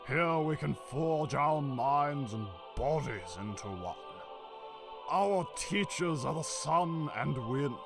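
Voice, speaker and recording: A gravelly, pompous desert ruler, voiced by a man, speaking slowly and with grand ceremony. His voice sits a little high, and music plays underneath him in this fan-made radio drama. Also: expressive, full character voice. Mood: ceremonious. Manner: grand, ceremonious speechmaking, fawning to guests. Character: pompous male desert ruler hosting a tournament.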